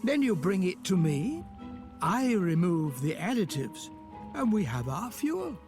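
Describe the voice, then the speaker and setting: A man's voice: high voice, sing-song, unhurried, darkish tone, faint music behind, exaggerated voice. An actor voicing a fussy older male inventor who explains things patiently with a touch of condescension. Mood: explaining. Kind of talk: game voice acting.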